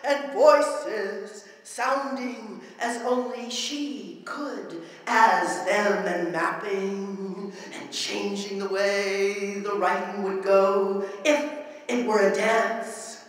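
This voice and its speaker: A woman recites poetry in a big reverberant hall, her voice set back from the microphone. She is theatrical and declamatory, drawing phrases out slowly and chanting them like an incantation. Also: medium pitch, fairly even intonation. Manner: emphatic, declamatory delivery. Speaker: performance poet. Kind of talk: dramatic spoken-word poetry recital. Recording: echoey hall, voice far from mic.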